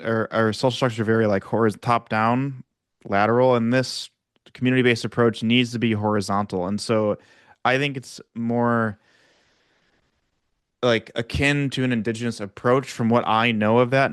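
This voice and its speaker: A young man talks through his ideas on a panel, wandering with fillers and self-corrections. His voice is low-pitched, smooth and resonant, and the sound is dry and close. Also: fairly bright tone, dry recording. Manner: rambling, frequent fillers and restarts. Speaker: panel guest. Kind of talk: casual panel discussion.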